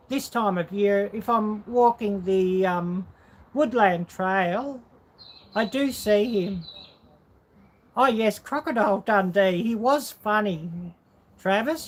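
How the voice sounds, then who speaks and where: A woman's voice: medium pitch, slow pace. A woman hosting a casual livestream, rambling and musing aloud as she answers viewers. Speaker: livestream host.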